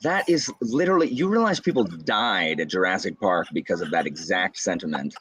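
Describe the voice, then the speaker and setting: A low-voiced man in his late thirties riffs with friends on a video call, animated and incredulous in his jokes. His deep voice comes through with clear background noise on the line. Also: fairly quick. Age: 30s. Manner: animated, incredulous, joking rants. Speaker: booktuber guest. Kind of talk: casual video-call chat. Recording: noisy video call audio.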